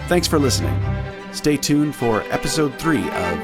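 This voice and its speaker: A man's voice: fairly high pitch, very animated, moderate pace. A man reading scripted closing credits as an announcer for a radio drama. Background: violin music.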